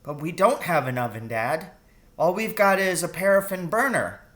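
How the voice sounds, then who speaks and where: A man's voice: high-pitched, animated, normal speed, fairly bright tone, voice pushed up. A man voicing a curious, practical young boy in a children's story, asking earnest, doubtful questions.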